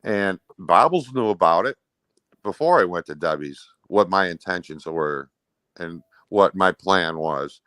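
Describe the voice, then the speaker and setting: Low-voiced man, rambling and defensive. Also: lively intonation, a little slow, bright, forceful tone, dry recording. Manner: rambling, peppered with "you know". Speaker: interview guest. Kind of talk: casual, defensive explanation.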